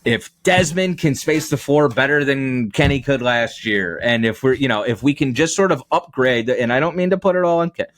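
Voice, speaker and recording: A man's voice: medium pitch, lively intonation, normal speed, hardly any pauses, a bit bright, no echo. A man giving conversational sports analysis as a podcast guest. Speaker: radio play-by-play announcer, podcast guest.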